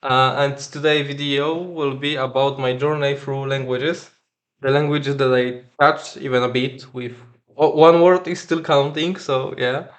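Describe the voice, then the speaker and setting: A man from Silesia in Poland, whose first language is Silesian, talks in halting English with a Polish accent, pausing and filling gaps as he vlogs. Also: mid-range voice, steady tone. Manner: hesitant, halting non-native English with frequent fillers. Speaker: vlogger. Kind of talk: casual vlog monologue.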